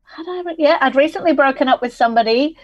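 A woman's voice: high voice, fast. A woman in her forties from England with a Manchester accent, talking candidly and reflectively as an interview guest. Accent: Mancunian English. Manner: candid, reflective, laughs easily. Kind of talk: conversational interview.

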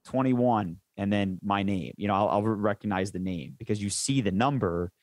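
A man's voice: fairly low pitch, moderate pace, dry recording. Male sports announcer chatting, close dry sound. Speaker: sports radio play-by-play announcer, interview guest. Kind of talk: casual sports interview chat.

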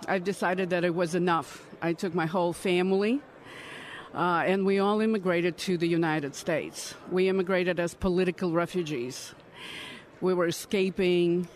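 A woman in her fifties from Ukraine, giving earnest, measured interview answers at a political conference. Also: on the low side. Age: 50s. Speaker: congressional candidate.

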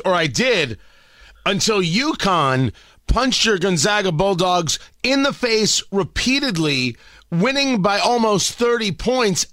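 A man's voice: sits high, pushed and bright, dry recording, clean studio sound. A man hosting a sports radio show, bantering casually with his guest. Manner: bantering, conversational. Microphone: studio mic.

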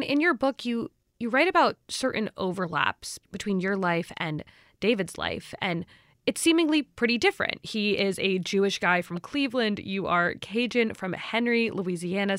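A woman hosting a public radio interview, asking measured, inquisitive questions. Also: mid-range voice, fairly bright tone, no room sound, clean studio sound.

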